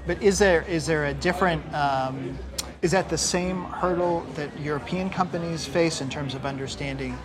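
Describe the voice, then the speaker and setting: A man's voice: on the high side, normal speed. Chatty male interviewer with crowd behind. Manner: conversational, long winding questions. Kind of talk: onstage interview. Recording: crowd murmur in the background.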